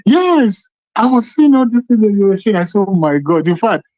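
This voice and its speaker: A Nigerian man with a Yoruba accent calls in on a dull, muffled phone line. His voice sits fairly high and swings widely in pitch as he argues politics emphatically, repeating himself and posing questions he then answers. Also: very animated, moderate pace, mellow, dry recording. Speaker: phone-in caller. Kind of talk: impassioned political phone-in commentary. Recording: muffled, band-limited phone call.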